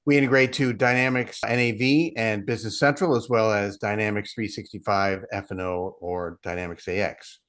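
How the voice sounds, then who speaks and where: A man's voice: fairly low pitch, fairly even intonation, moderate pace, few pauses, fairly bright tone. A middle-aged man, a partnerships head, explaining steadily and with self-deprecating asides as a guest. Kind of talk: casual interview chat.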